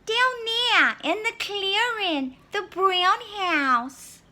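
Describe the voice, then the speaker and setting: Eitles Rotkäppchen mit sehr hoher Stimme. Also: female voice, very high voice, lively intonation, pushed and bright, pitched above the performer's own voice, full character voice. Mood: bright. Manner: gushing, singsong, drawn-out. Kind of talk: storybook character voice.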